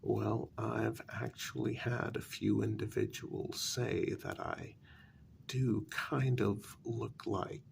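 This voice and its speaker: A man playing an older, vain, sarcastic gentleman vampire in an ASMR role-play, speaking softly and breathily and dropping into whispers. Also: fairly low pitch, fairly even intonation, vocal fry, a little hiss or hum, heavily acted. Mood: smug, vain. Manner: slow, deliberate, dryly condescending.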